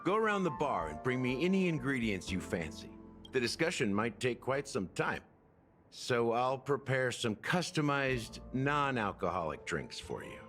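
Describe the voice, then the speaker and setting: An actor playing an easygoing, world-weary middle-aged bartender in a video game, wry and casual. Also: male voice, medium pitch, very animated, moderate pace, a bit bright, faint music behind. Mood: affable, explaining. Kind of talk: video game character dialogue.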